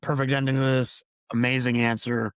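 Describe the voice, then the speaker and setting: A man's voice: mid-range voice, level tone, a little fast, no echo. A man from Michigan, hosting a podcast in an enthusiastic, effusive, rambling way on a remote call. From Upper Peninsula of Michigan, USA. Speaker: podcast host. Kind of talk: casual interview chat.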